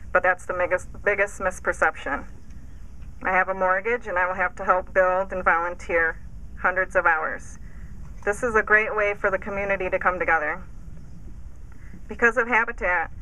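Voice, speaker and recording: Woman homeowner, bright clear tone, earnest and slightly nervous speech outdoors. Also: medium pitch, low-quality recording. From Holland, Michigan. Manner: earnest, a little nervous, thankful. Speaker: homeowner speaking at an event. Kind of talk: prepared public remarks. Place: outdoors at a house build site.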